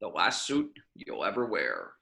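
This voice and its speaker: A man reading the part of a stern, commanding secret agency chief at an amateur script table read, measured and authoritative. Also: low voice, huge pitch swings, creaky, bright, forceful tone, heavily acted. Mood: curt.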